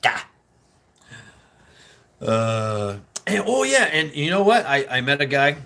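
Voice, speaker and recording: A man's voice: on the high side, big pitch swings, slow, bright, forceful tone. Casting: American male, middle-aged, loose livestream host, meandering, salty-tongued storyteller. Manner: sweary, laughing, meandering storytelling. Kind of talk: casual rambling livestream chat.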